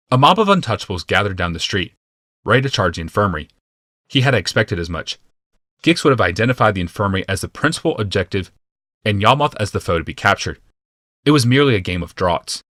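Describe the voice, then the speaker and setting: A man reads a fantasy audiobook in a low voice with a bright, forceful edge. The delivery is scripted dramatic narration, and the recording is very dry. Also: dry recording.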